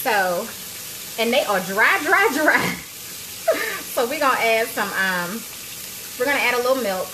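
A woman's voice: on the high side, expressive, measured pace, pauses often. A woman, talking casually to viewers while she cooks in her home kitchen on a phone livestream. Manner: chatty and playful, talks to viewers as y'all. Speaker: cooking show host. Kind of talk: casual livestream cooking chat. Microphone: phone on a tripod, close to arm's length. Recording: noisy kitchen with sizzling frying.

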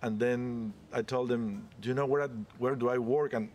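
A man's voice: mid-range voice, steady tone, normal speed, a little mellow. A man in his forties from Peru, talking casually with a rambling manner in a street interview in a leafy courtyard outdoors. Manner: chatty, rambling, non-native English. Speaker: interviewee, cruise ship photographer.

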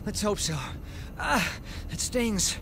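An actor playing a hesitant lab scientist in a video game. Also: male voice, high-pitched, animated, takes it slowly, vocal fry, heavily acted. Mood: pained relief. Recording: noisy ambience behind.

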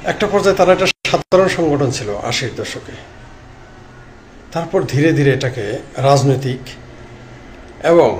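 A middle-aged man with a Bangladeshi accent gives informal political commentary to camera, speaking haltingly in short broken phrases. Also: a little high, expressive. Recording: clearly noisy background ambience.

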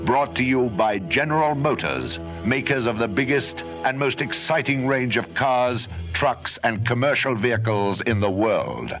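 A male radio series announcer with a medium-pitched voice reads dramatic scripted copy. Music plays clearly behind him. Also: animated, measured pace, hardly any pauses, fairly bright tone.

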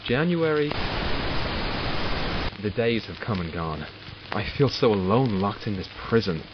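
A man playing a curious, wry doctor in an audio drama, dictating log entries in a measured, formal, dryly sardonic way. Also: fairly low pitch, sing-song, background noise. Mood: lonely, brooding. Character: male doctor logging studies of supernatural captives, curious and wry. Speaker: voice actor. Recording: dull lo-fi recording with music behind. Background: music.

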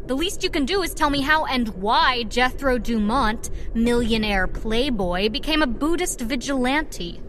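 A sardonic young woman, a nurse turned vigilante, in radio drama style. Her voice is high and swings freely as she teases and challenges. There is faint noise behind her. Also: expressive, measured pace, hardly any pauses, fairly bright tone. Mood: challenging. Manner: teasing, challenging.

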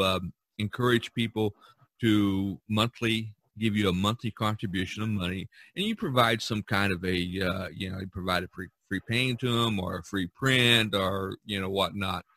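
A man's voice: on the low side, measured pace, bright, forceful tone, no room sound. A man hosting a casual podcast about art, talking in a rambling, enthusiastic way and repeating himself. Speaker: podcast host and artist.

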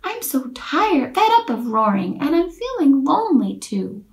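A woman voicing a tired, lonely female dragon in a storybook, speaking softly and wearily. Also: sits high, sing-song, a little slow, echoey room, distant mic, slight background noise, pitched above the performer's own voice, full character voice. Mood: weary. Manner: soft, hushed, weary.

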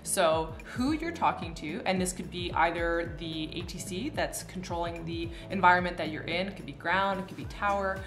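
A woman's voice: on the low side, normal speed, few pauses, over music. A woman in her thirties with a Canadian accent, explaining things to camera in a chatty, informal teaching style. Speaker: pilot and video host. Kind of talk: casual educational explainer to camera. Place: small furnished room indoors. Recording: roomy, echoey indoor sound.

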